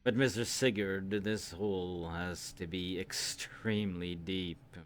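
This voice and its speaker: A man playing a formal, deliberate leader in a roleplay game, speaking in courteous, measured phrases with pauses. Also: deep voice, steady tone, a little hiss or hum. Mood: measured, scheming. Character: formal, deliberate male leader planning a monster hunt. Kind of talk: improvised roleplay in a virtual-world game.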